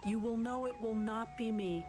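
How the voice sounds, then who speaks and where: A woman's voice: fairly high pitch, normal speed, mellow, lightly acted. A woman voicing a protective mother goat in an analog horror fairy tale, with a motherly, cautioning manner. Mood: motherly warning. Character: gentle, protective mother goat, anxious for her children. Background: background music.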